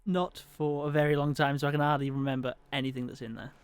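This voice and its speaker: A man's voice: fairly high pitch, flat delivery, fast, clean tone, no room sound. A man in his twenties from Wales, a student guest talking hesitantly and modestly, laughing easily. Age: 20s. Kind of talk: casual chat.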